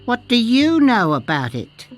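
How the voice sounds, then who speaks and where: A woman's voice: on the high side, wildly swooping pitch, a little slow, faint music behind. An actress playing a cagey, knowing woman cartographer in a pirate video game, chatty and conspiratorial. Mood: storytelling. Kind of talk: video game dialogue acting.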